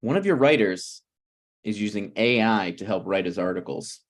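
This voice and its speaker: Man reading as brazen scheming slacker, animated delivery. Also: fairly low pitch, close to an everyday voice. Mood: pushy, conniving. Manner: blunt, presumptuous, halting. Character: Ryan, brazen, scheming slacker screenwriter, male. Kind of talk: table read of a TV pilot script.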